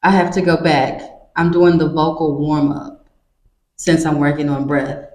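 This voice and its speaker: Casual woman vlogger, low-ish monotone, unhurried and rambling, a bit scratchy; long reverb in the room. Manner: chatty, rambling with many "like"s. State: slightly scratchy voice. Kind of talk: casual self-filmed vlog talk. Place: indoor room, cold. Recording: echoey room with long reverb, faint ambience.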